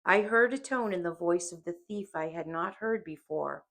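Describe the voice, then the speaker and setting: A woman reading an audiobook as dramatic scripted narration, solemn and deliberate. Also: fairly low pitch. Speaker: audiobook reader.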